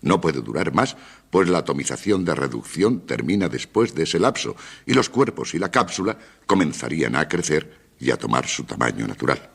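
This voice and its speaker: An actor in a radio drama playing an authoritative, methodical doctor with a gravelly, husky voice and a formal, measured way of explaining. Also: male voice, extremely low pitch, very animated, full character voice. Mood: methodical, explanatory. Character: authoritative male doctor briefing a surgical expedition, calm, methodical.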